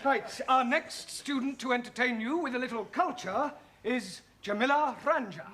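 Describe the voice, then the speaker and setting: A man's voice: very high-pitched, moderate pace, bright, forceful tone, slight background noise. A man with a British accent, playing a polite, jolly teacher who compères a school concert in a 1970s studio sitcom. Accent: British English.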